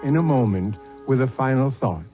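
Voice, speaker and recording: A male host narrates a radio drama in a mid-pitched voice, measured and reflective with a theatrical touch. Music plays clearly behind him on a muffled old broadcast. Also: animated.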